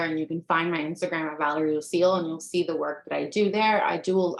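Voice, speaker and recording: A woman's voice: fairly low pitch, creaky, echoey room. A woman in her thirties, a native Spanish speaker, giving an earnest, conversational online workshop as a life coach, talking straight to her audience. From United States.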